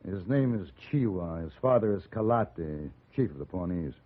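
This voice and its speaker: An actor playing a composed, educated gunfighter with an American accent, measured and dry, acting and narrating in a 1950s radio western. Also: male voice, deep voice, expressive. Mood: cool, measured. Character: composed, educated gunfighter for hire, coolly authoritative. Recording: phone-like 1950s radio recording with music.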